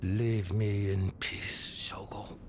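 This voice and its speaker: An actor playing a stern, bitter older Japanese gang boss father in a video game, with a husky voice. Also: on the low side, little pitch movement, slow, slight background noise. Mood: cold grief. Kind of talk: video game cutscene acting.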